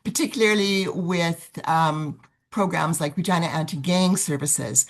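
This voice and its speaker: Older woman with a very low voice. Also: measured pace. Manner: reflective storytelling. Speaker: nonprofit executive director, webinar participant. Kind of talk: recounting experience on a video call. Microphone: video call.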